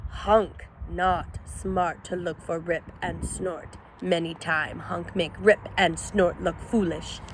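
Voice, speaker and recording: A woman doing a slow, gruff coyote Snort. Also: on the low side, fairly even intonation, slight background noise. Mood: menacing. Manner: broken, clipped speech, slow and deliberate. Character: simple-spoken male coyote, gruff and menacing.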